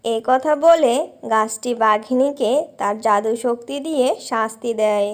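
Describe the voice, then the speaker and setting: A woman narrator reads a children's fairy tale from a script. Her voice is high in pitch. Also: unhurried.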